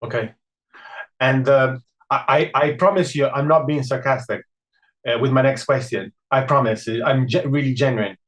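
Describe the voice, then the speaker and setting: A man's voice: medium pitch, moderate pace, a bit bright, rough recording. Earnest man, rambling interview questions. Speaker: podcast host.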